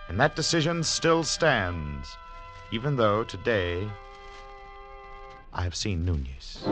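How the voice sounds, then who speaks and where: An actor playing a troubled mining engineer and former climber in a 1940s radio drama, intense and articulate. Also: male voice, fairly low pitch, a bit bright. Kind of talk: 1940s radio drama acting, first-person narration.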